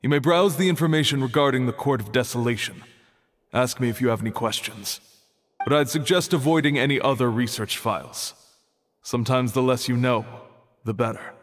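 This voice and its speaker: An actor voicing a reserved, cautious archive keeper in a video game, formal and guarded in manner. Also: male voice, medium pitch, fairly quick, close to an everyday voice. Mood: guarded, sincere. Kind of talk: scripted video game dialogue.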